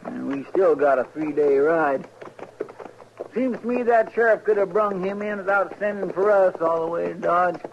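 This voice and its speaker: An actor playing a folksy, excitable frontier deputy with a rural American twang in a 1950s radio western. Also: male voice, sits high, moderate pace, creaky. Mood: grumbling. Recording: noisy muffled 1950s radio recording.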